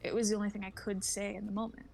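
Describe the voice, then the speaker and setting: Hesitant, creaky-voiced regretful young woman acted out by a woman. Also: mid-range voice, flat delivery, racing, a little mellow, slight background noise, close to an everyday voice. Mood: wistful, earnest. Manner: hesitant, earnest, heartfelt. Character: regretful young woman confessing love to an old friend. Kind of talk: dramatic script reading on a livestream.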